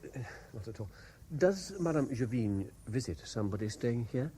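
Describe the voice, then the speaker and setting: A man playing an earnest, troubled lawyer in a radio play, speaking in a measured, careful way. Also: medium pitch, animated, dark tone, slight background noise, close to an everyday voice. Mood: polite, probing. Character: earnest, troubled male lawyer narrating his surveillance case. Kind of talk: radio play acting with diary-like narration. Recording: 1970s radio drama recording.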